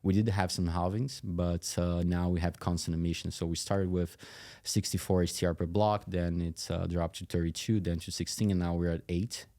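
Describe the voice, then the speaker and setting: Male interview guest, mellow dark tone, dry close sound, halting conversational explanations. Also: sits low, flat delivery, dry recording. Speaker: company CEO interview guest.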